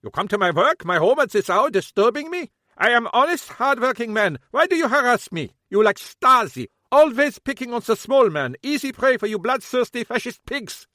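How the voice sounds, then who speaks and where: A man voicing a gruff middle-aged German immigrant shopkeeper who speaks broken English in a loud, indignant way. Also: on the high side, very animated, moderate pace, dry recording, clean studio sound, pitched above the performer's own voice.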